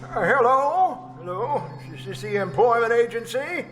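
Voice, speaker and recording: Man acting a high-voiced, shifty smarmy gentleman. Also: expressive, measured pace. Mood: fussy, businesslike. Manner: hesitant, chuckling, over-polite, flustered delivery. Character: smarmy, shifty male employer with sinister, fussy politeness. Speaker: amateur radio troupe actor. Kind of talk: old-time radio drama acting. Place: live performance at a winery. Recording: live stage performance with music.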